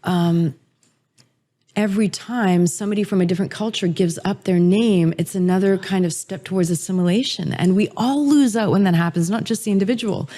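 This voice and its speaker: A woman's voice: medium pitch, brisk, warm tone. A middle-aged woman interview guest, an author and speaker, answering in an articulate, earnest way that builds ideas step by step.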